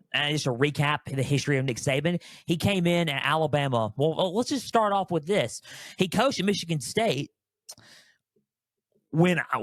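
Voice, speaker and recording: A man's voice: fairly high pitch, no room sound, studio quality. A man in his early twenties talking solo as a sports podcast host, conversational and storytelling, repeating phrases for emphasis.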